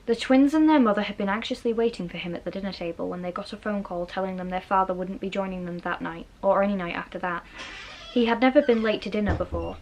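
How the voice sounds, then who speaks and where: A woman's voice: mid-range voice, fast talker, darkish tone. A woman reading a children's novel aloud as a storybook narrator. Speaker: storybook reader. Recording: noisy, rain in the background. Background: rain.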